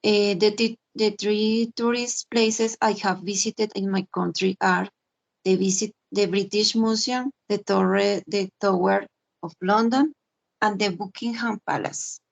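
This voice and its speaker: Woman in her 40s, gravelly voice, stumbles and repeats words reading english. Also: mid-range voice, steady tone, a little slow. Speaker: English learner. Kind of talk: reading a class presentation.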